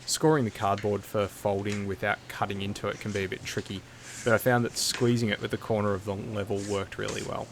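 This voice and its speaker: A man narrating a scripted how-to video in a measured, matter-of-fact way. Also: on the low side, level tone, gravelly, a little hiss or hum.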